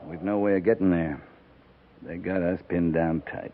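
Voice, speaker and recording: A tinny, hissy vintage radio recording carries an actor playing a frontier army captain. He is an American man with a lowish voice. He speaks in short, firm, steady commands. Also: moderate pace, lightly acted. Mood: firm, sober. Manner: terse, firm, laconic. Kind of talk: 1950s radio drama acting. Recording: tinny, band-limited, noisy 1950s radio recording.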